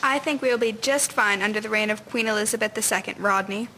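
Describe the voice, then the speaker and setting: Casting: woman as gushing schoolgirl, rapid and bright, confident, period classroom play with slight rumble. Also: natural voice. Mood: enthusiastic. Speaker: actor in an amateur history drama. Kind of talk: scripted amateur audio drama.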